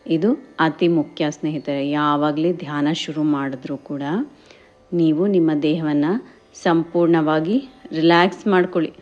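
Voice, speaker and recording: A woman leads a guided meditation in a deep register, taking her time over every phrase. She gently encourages her listeners and slips English words into her instructions. The recording sounds a little dull. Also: fairly even intonation, measured pace.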